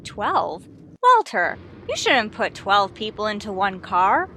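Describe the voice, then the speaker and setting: A woman's voice: mid-range voice, sing-song, takes it slowly, sharp tone, a little hiss or hum, heavily acted. An actress playing a wry, wisecracking woman English teacher with dry, sardonic delivery in a 1950s radio sitcom. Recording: old radio broadcast recording.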